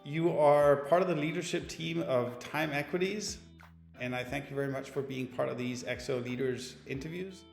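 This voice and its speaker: A man's voice: mid-range voice, moderate pace, gravelly, reverberant, in a large hall, soft background music. A man hosting an interview, asking questions in a polite, formal way. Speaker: interview host.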